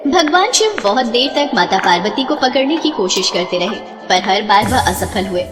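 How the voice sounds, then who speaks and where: High-voiced woman narrating a devotional tale. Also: big pitch swings, moderate pace, in a large hall, over music. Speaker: story narrator. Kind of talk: scripted devotional storytelling narration.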